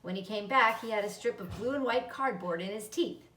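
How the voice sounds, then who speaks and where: A woman's voice: mid-range voice, fairly quick, fairly bright tone, slight background noise. A woman narrating a children's storybook as a read-aloud. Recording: roomy, echoing, distant microphone.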